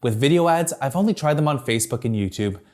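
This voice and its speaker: A man presenting a scripted video marketing tutorial from a teleprompter in a clear, structured, upbeat way. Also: medium pitch, expressive, quick pace, a little mellow.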